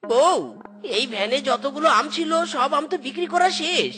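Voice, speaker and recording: A woman's voice: high-pitched, animated, moderate pace, fairly bright tone. A woman voicing a whiny, gullible male fox husband in a cartoon, with exaggerated exclamations. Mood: eager. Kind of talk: cartoon voice acting. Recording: cartoon music behind voice.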